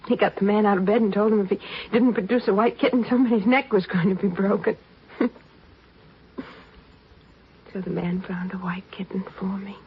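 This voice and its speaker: A woman's voice: medium pitch, steady tone, normal speed, pauses often, close to an everyday voice. Actress as a tremulous doomed girl, old radio play. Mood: wistful. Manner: tremulous, hesitant, plaintive. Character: doomed young stowaway girl, frightened, gentle, bravely resigned. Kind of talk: 1950s radio drama acting. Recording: noisy, muffled 1950s radio recording.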